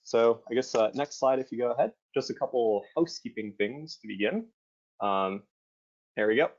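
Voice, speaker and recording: A man hosting a webinar in a relaxed, casual way with many fillers. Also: medium pitch, moderate pace. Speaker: webinar host. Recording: muffled lo-fi online call audio.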